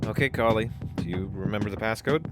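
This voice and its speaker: A man's voice: lowish voice, fast, close to an everyday voice. An actor playing an anxious, eager young man of twenty-two leading space biker recruits in an audio drama, stammering nervously. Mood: focused, hesitant. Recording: music under the voice.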